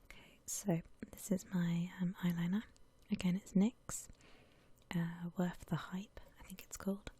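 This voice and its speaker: A woman whispering softly and breathily in a gentle, unhurried ASMR voice-over, chatting casually. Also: lowish voice, flat delivery, husky. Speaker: ASMR video creator.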